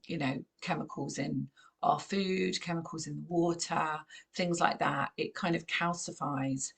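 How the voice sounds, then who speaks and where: A woman with a croaky voice, gently guiding a channeled meditation in a calm, unhurried way. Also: fairly low pitch. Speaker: spiritual channeler and host.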